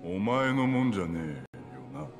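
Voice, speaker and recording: An actor playing a gruff, blunt male rebel leader in a game cutscene, talking rough and suspicious. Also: mid-range voice, lively intonation, moderate pace, natural voice. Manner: rough, blunt street speech. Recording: game audio with music and reverb.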